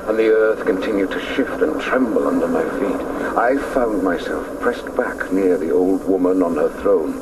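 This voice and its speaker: A man's voice: sits low, normal speed, hardly any pauses, lightly acted. An actor playing a reflective man who recalls his childhood, narrating solemnly and hesitantly in a radio drama. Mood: tense. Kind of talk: dramatic first-person narration. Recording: distant, faintly noisy radio recording.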